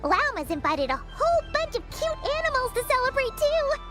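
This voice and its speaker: A bubbly little game heroine with a very high, swooping voice, eager and a touch bossy as she shows off a festival. Loud music plays beneath her. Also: very animated, moderate pace. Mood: cheerful, eager. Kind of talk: video game voice acting.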